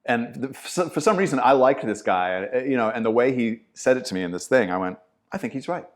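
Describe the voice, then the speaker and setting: A man's voice: medium pitch, brisk. A middle-aged man, an acting teacher, chatting in a podcast interview and acting out what people said in vivid stories. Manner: animated storyteller, acts out quotes with swearing. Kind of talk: casual conversational interview.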